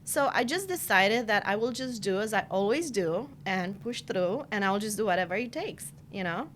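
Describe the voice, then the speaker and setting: A woman's voice: medium pitch, expressive, normal speed, a bit bright, slight background noise. A woman in her forties from Romania, a guest talking through her career in an earnest, reflective way with lots of "you know" fillers. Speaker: podcast guest, marketing director. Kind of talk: casual interview chat.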